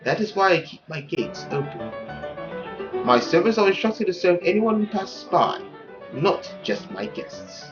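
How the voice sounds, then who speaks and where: A performer voicing a suave, courtly French marquis, gracious and gently condescending in theatrical, flowery, unhurried speech. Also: medium pitch, very animated. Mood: smooth, condescending. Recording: dull lo-fi audio with faint music.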